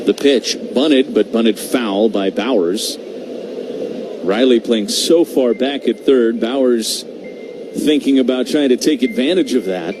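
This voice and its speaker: A man's voice: mid-range voice, takes it slowly, noisy background. A man calling baseball play-by-play live on the radio. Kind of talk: live radio sports commentary.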